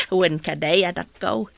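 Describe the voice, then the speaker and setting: A woman's voice: lowish voice, creaky, fairly bright tone, dry recording, exaggerated voice. An actress with an Ilocano accent playing a teasing, fussing middle-aged mother in a radio drama.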